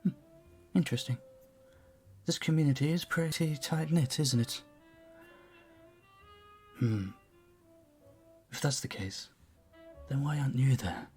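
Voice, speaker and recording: A man's voice: mid-range voice, measured pace, pauses often, a little mellow, faint music behind, close to an everyday voice. An actor playing a charming, flirtatious vampire bartender in an intimate audio roleplay, suave and teasing as he speaks to the listener. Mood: friendly, curious.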